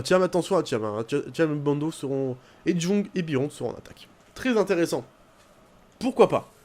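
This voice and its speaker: Young man, game commentary, rambling, excitable, slightly high voice. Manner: chatty, rambling, bursts into excited shouting at goals. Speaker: gaming YouTuber. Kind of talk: casual gameplay commentary.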